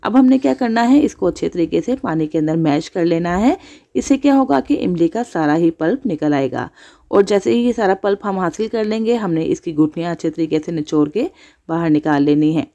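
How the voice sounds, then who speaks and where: A woman's voice: fairly low pitch, animated, fairly quick, mellow, lo-fi sound. A woman hosting a cooking channel, narrating a recipe step by step as a voice-over.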